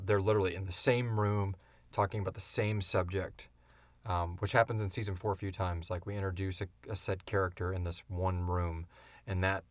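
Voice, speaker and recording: A man in his thirties with a fairly low voice, recorded dry. He talks as a podcast host in an informal chat, telling stories in an animated, loose way and acting out bits as he goes. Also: no room sound. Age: 30s. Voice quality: wheezy breathing. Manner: animated storyteller, acts out sounds and swears casually. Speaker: podcast host and audio drama creator. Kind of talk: casual chat answering listener questions.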